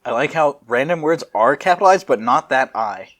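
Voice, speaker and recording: A man's voice: medium pitch, expressive, a little fast, fairly bright tone. A man narrating a story as an amateur host, with dramatic reading and jokey casual asides. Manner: jokey, laughs at the text.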